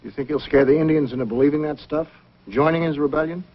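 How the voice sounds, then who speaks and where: A man's voice: on the high side, fast talker, dull audio, close to an everyday voice. A man acting an uneasy, plain-spoken frontier logger in an old-time radio adventure, sounding defensive and hesitant. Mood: puzzled. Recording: old band-limited radio recording.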